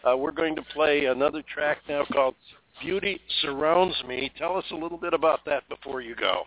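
A man hosting a radio talk show, speaking in a measured way with occasional fillers. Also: fairly high pitch. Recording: tinny lo-fi radio sound.

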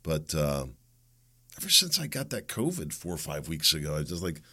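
A middle-aged man hosting a solo political commentary show, impassioned and rising to loud rhetorical questions, then drifting into rambling asides, with a slightly raspy voice. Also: very deep voice, wildly swooping pitch, moderate pace. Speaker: host.

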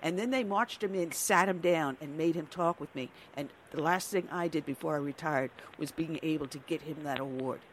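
A woman's voice: sits low, moderate pace, few pauses, slight background noise. Earnest older woman hosting talk radio. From New York. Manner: earnest, conversational. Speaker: radio show host.

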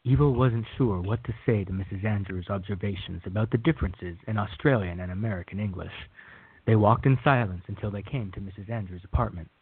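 Husky low-voiced man narrating. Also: fairly quick. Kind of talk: scripted radio drama narration. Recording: dull lo-fi recording.

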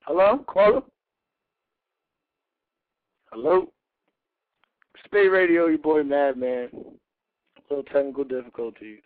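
A man hosting a call-in radio show, talking loose and hyped with slang and shout-outs. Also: on the high side, fairly even intonation, moderate pace, dry recording. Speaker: radio host. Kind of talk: casual call-in radio chat. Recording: tinny phone-like line.

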